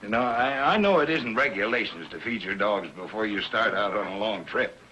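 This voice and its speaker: A man's voice: on the low side, lively intonation, a little fast, pushed and bright, a little hiss or hum, poor audio. A man playing a humble, friendly miner in a 1950s television adventure, speaking in a homespun, hesitant way. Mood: grateful, friendly. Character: grateful, kindly gold miner, friendly and humble.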